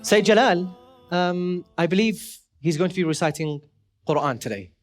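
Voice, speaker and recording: Man, judge, warm, praising, higher pitch, faint music. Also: animated, normal speed. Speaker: competition judge. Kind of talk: giving feedback to a performer.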